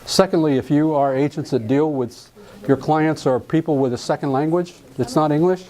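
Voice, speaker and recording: A man's voice: on the high side, moderate pace, dark tone. A middle-aged man from Alabama, giving a live classroom lecture on real estate contracts in a folksy, joking way and quizzing his students. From Alabama, US South.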